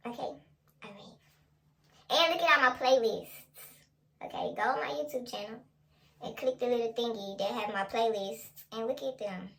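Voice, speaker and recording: High-voiced young woman, slangy and playful. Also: a little slow, pauses often, fairly bright tone. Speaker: vlogger and ASMR creator. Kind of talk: casual ASMR role play and chatty vlog.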